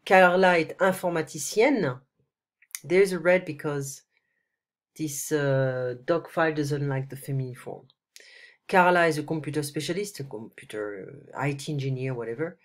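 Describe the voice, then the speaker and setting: Woman, deep voice, stuffy cold, patient tutor, screen-recorded lesson. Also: normal speed. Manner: switches between French and English, explaining patiently with frequent "ok?". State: has a cold, stuffy nose. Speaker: French tutor. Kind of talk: one-on-one screencast language lesson. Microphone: computer mic, voice-over screen recording.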